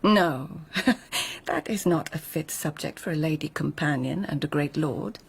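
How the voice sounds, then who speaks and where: Breathy, hushed Japanese court lady. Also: deep voice, heavily acted. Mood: wry, poetic. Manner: measured, courtly, pointed. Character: proud, witty court lady of tenth-century Japan, loyal companion to an Empress. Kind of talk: radio drama acting, poetic formal speech.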